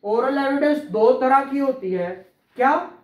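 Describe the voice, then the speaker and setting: A man's voice: extremely high pitch, expressive, measured pace, a little mellow, echoey room, poor audio. A man teaching law to a class, quizzing students, repeating key terms and praising answers. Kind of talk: classroom law lecture with questions.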